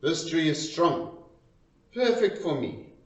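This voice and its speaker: Man reading as emphatic woodcutter, raised pitch, echoing. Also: lively intonation, slow. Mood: decisive. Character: brisk, sure, matter-of-fact male woodcutter. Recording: roomy, distant, dull lo-fi sound.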